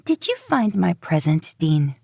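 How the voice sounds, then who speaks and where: A woman's voice: on the low side, sing-song, a little slow, vocal fry, darkish tone, breathy edge, dry recording, clean studio sound, heavily acted. A woman voicing a chirpy, teasing witch in a fan fiction audiobook, playful and unrepentant. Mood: playful, smug. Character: chirpy, teasing, mischievous adult female witch. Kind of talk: podfic character voice.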